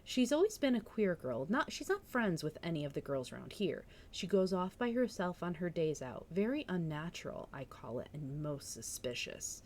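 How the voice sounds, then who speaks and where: A woman's voice: fairly low pitch, very animated, moderate pace, few pauses, a little mellow, a little hiss or hum. A woman voicing an opinionated, gossipy village busybody in a storybook read-aloud, stern, solemn and reproachful. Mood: solemn, disapproving.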